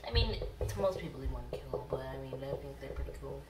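A man's voice: medium pitch, expressive, fast, recorded from a distance. A young male co-host chatting casually and dramatically while drawing. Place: indoors at a wooden table. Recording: echoey, noisy home recording.

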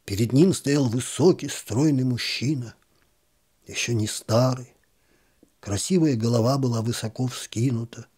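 A man's voice: mid-range voice, a little mellow, husky. A man narrating a literary short story as an audiobook reader.

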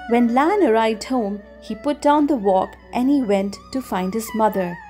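A woman narrating a scripted children's story with expressive, deliberate phrasing. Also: on the high side. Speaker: storybook reader. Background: music.

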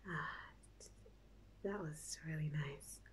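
A woman playing a nervous, giddy young woman in an ASMR roleplay, stammering softly and often whispering in a halting, giggly way. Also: low voice, exaggerated voice. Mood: flustered, happy. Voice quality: soft, breathy, often whispered. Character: nervous, giddy young woman before and after first kiss.

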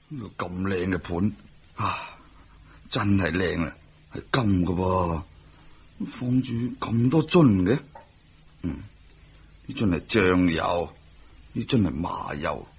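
A man's voice: deep voice, animated, slow, long pauses, husky, full character voice. An actor playing a genial elderly father-in-law in a Hong Kong Cantonese radio drama. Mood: delighted. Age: older.

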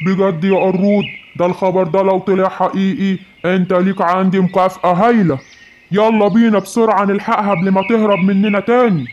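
A man plays a bossy cartoon lion king with a high voice and an Egyptian accent. He scolds and threatens like an angry ruler. The recording is muffled and noisy. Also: steady tone, fast, darkish tone, close to an everyday voice. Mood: eager. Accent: Egyptian Arabic. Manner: commanding, scolding. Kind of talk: children's animated animal fable.

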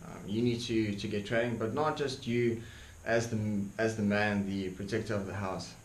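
A man's voice: on the low side, fairly even intonation, moderate pace. Earnest Afrikaans-accented young man. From South Africa. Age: young adult. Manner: earnest, frequent ums and repeated words, gestures with hands. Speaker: YouTuber. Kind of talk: opinion monologue to camera. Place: dark indoor room, seated by desk. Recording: echoey room with faint hiss.

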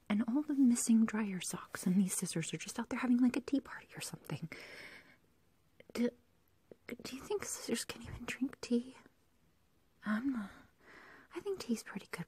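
Breathy whispering obsessive yandere girlfriend played by a woman. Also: a little high, warm tone, no echo. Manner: rambling, hesitant, cooing, giggly. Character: obsessive, love-crazed young female kidnapper, sweetly menacing, scatterbrained. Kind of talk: whispered ASMR roleplay acting. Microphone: close ASMR microphone, dry.

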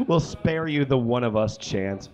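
A man's voice: fairly high pitch, muffled recording. Joking young man hosting a live show. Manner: loose, joking, swearing. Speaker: host. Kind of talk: live comedy show introduction. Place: small stage with curtains and brick wall. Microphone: handheld mic.

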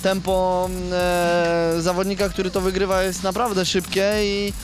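A man with a high voice speaks in an even, flat tone, cautiously weighing his words and stopping often on fillers. He talks into a handheld mic at an outdoor rally service park, with music clearly underneath. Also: measured pace. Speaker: rally driver. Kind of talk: post-stage interview. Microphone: handheld reporter mic.

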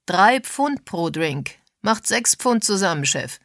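A woman's voice: medium pitch, sing-song, slow pace, a bit bright, dry recording, clean studio sound, pitched above the performer's own voice, full character voice. A woman voicing a curt, cheeky young male barman in an audiobook. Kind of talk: acted audiobook dialogue.

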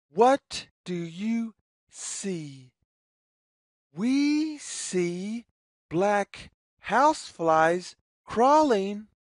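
A woman reading a children's picture book aloud as a storyteller, deliberately and in a sing-song way, pausing between phrases. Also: mid-range voice, darkish tone, no echo, clean studio sound.

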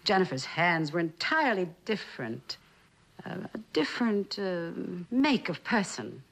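A woman's voice: lowish voice, very animated, a little slow, full character voice. A woman playing a cold, proud, well-to-do older mother in a mid-century TV drama, clipped and formal. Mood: cold, insistent.

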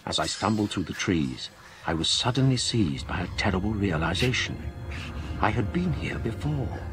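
An actor playing a scholarly middle-aged gentleman, gravely recounting a ghostly ordeal in measured literary radio-drama narration. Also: lowish voice, big pitch swings, soft background music. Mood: fearful, urgent.

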